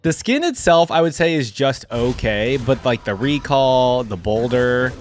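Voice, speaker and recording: A man's voice: mid-range voice, lively intonation, measured pace, soft background music. A man giving casual reaction commentary as a gamer, thinking aloud in an informal way. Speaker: gamer reacting to game character skins.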